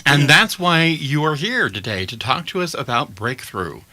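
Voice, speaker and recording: Bright-voiced radio host reading an intro. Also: male voice, a little high, very animated. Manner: measured, reads a prepared opening. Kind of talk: scripted intro and interview. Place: radio studio.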